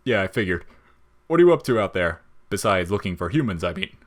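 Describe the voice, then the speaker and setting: A man's voice: sits low, sing-song, a little fast. A man playing a laid-back, wisecracking skeleton in an audio story, casual, joking and slangy. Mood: easygoing, evasive.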